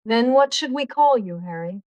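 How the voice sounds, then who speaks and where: A man voicing a spirited, flirtatious English duchess in an audiobook, with a coy falsetto and quick retorts. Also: lively intonation, dry recording. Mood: coy, playful. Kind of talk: audiobook character dialogue.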